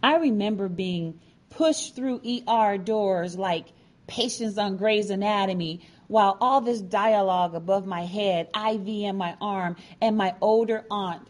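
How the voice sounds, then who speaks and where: Slow-paced woman telling a personal story. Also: medium pitch, a little mellow. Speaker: featured storyteller. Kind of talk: personal storytelling, scripted narration.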